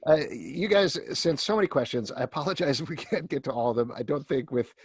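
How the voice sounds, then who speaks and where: Male moderator for an online event, informal and conversational, medium pitch, dry recording. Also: animated, fairly quick, husky, no echo. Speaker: host and panel moderator. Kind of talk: casual event hosting. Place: video call.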